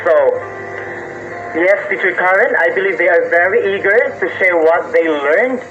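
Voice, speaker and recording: A man's voice: high-pitched, normal speed, music in the background. A man with a Filipino accent hosting a live radio lesson in an articulate, instructive, polite way. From Philippines. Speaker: radio lesson host and teacher. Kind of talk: live educational radio hosting, scripted banter. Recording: muffled noisy radio broadcast audio.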